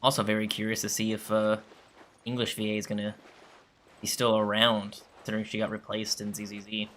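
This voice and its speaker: A man's voice: fairly low pitch, completely flat delivery, brisk, a bit bright, slight background noise. A man giving casual commentary as a gaming streamer, rambling and thinking aloud.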